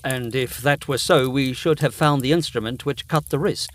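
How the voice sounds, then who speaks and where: A man playing a courteous, inquisitive young Edwardian gentleman in a gothic audio drama, speaking politely and formally. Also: medium pitch, moderate pace, slight background noise, close to an everyday voice. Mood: curious, concerned.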